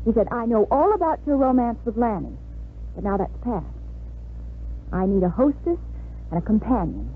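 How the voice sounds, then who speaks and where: A bitter young bride-to-be, old radio sound. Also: female voice, fairly high pitch, big pitch swings, moderate pace, pauses often. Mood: wry, flat resignation. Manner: wry, world-weary. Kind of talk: 1950s radio drama acting. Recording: muffled, noisy 1950s radio recording.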